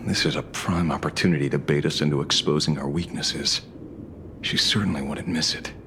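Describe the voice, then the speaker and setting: A man's voice: a bass voice, steady tone, brisk, background noise. An actor voicing a sly, confident young man in a video game, talking in playful, drawling banter. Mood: calculating. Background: game music.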